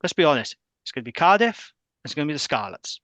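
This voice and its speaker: A man with a fairly high voice talks rugby in casual chat. He is forthright and emphatic, driving home each point. The recording is dry and close. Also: very animated, fast, a bit bright, no room sound. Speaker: podcast co-host.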